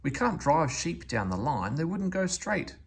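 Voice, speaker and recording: A man's voice: a little high, sing-song, unhurried, creaky, breathy edge, pitched above the performer's own voice, exaggerated voice. A man voicing a puzzled steam engine from a storybook, protesting in a querulous, bewildered way.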